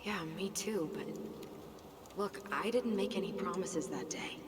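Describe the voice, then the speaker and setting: A woman's voice: fairly low pitch, a little slow, natural voice. A woman playing a loyal, teasing young twin sibling in a naturalistic video game performance. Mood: earnest. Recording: echoey, noisy, distant game capture.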